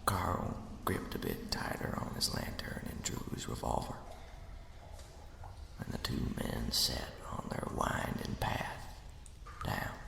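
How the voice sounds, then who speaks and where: A man narrating a ghost story as ASMR in a hushed, slow, dramatic whisper with a breathy, gravelly edge. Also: extremely low pitch, very animated. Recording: echoey, long cavernous reverb.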